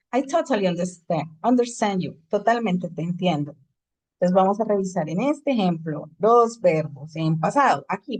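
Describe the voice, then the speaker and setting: A woman's voice: mid-range voice, low-quality recording. Woman teaching an English class. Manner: explains in Spanish, switches to English for examples. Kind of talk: online class lecture.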